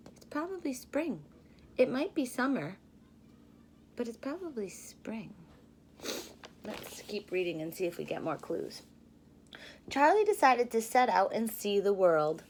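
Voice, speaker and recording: A woman in her thirties reading a children's storybook aloud like a teacher, expressively, pausing to explain and ask questions. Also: fairly high pitch, sing-song, a little hiss or hum.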